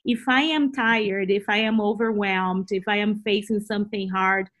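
A woman's voice: mid-range voice, fairly even intonation, moderate pace, fairly bright tone. A woman from Brazil, a native Portuguese speaker with a Brazilian accent, telling personal stories expressively as an interview guest, often repeating herself as she goes. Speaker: interview guest, Bible teacher. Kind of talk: personal storytelling in a conversational interview.

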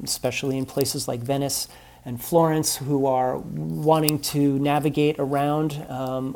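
A man's voice: mid-range voice, warm tone. A man speaking as an expert guest, explaining history in thoughtful interview answers full of ums and uhs.

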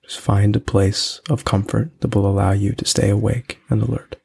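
Low, gravelly man guiding meditation. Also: little pitch movement, darkish tone. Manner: soothing, unhurried instruction with long pauses. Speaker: podcast host and meditation guide. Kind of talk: guided meditation.

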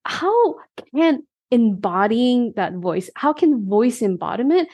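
A woman's voice: on the high side, sing-song, slow, a little mellow, slightly rough. A woman hosting an interview podcast, a leadership coach who asks questions eagerly and affirms her guest often. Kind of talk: conversational interview.

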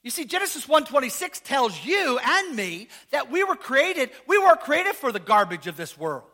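Pastor, male, unusually high and bright voice, casual and joking, quizzes the congregation, bursts into shouts. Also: moderate pace. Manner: animated, rising to shouts, asks the congregation questions. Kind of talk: sermon.

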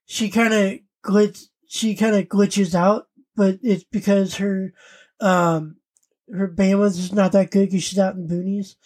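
A woman co-hosts a casual podcast recap. She speaks at a slow pace with a medium pitch. Her delivery is halting, as she often searches for the next word. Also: flat delivery, darkish tone. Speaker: podcast co-host. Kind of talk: casual chat recapping an anime parody.

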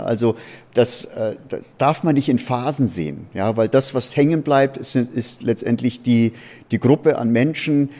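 Mellow-voiced man on a hall panel. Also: mid-range voice. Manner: rambling, fills pauses with "ja" and "letztendlich". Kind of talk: panel discussion answer. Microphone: handheld mic.

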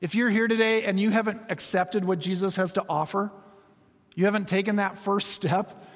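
A man in his forties preaching a sermon, telling stories with humor and moving between soft, slow passages and quick, lively ones. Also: high-pitched. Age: 40s. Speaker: pastor. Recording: long reverb of a large hall.

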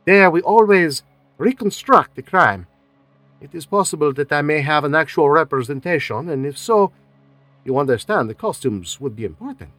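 Guy doing a prim polite detective, bouncy pitch, dry close sound. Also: expressive, normal speed, fairly bright tone, no echo, faint music behind. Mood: precise. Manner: precise, polite, faintly French-flavoured phrasing. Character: fussy dapper middle-aged male foreign detective.

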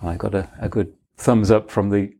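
A man's voice: on the low side, fairly even intonation, moderate pace, warm tone, slightly rough. A man hosting an interview, summarizing points and asking engaged follow-up questions. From Europe.

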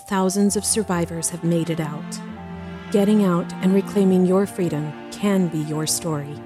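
Lower-voiced woman reading over music. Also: steady tone. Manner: earnest, supportive, measured. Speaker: podcast cast member and announcer. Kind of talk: scripted outro message.

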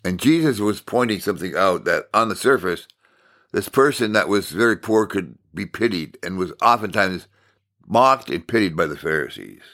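An American man from Minnesota giving an informal sermon as a Bible teacher, telling stories in deliberate, halting phrases with emphatic repetition. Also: deep voice, lively intonation, fairly bright tone. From Minnesota, United States.

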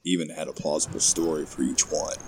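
A man narrating a scripted horror story in a gravelly, ominous, measured way. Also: rumbling low, fairly even intonation, darkish tone, slight background noise.

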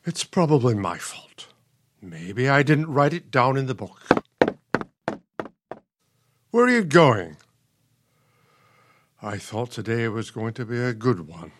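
An actor in a radio play performing a weary, patient older husband, placating and pained. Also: male voice, mid-range voice, sing-song, slow, long pauses, background noise. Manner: placating, pained, long-suffering.

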